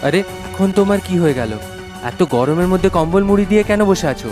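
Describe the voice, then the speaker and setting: Man playing a worried, high-voiced village husband. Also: lively intonation, a little fast, music in the background. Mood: puzzled concern. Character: worried, trusting village husband in a ghost cartoon.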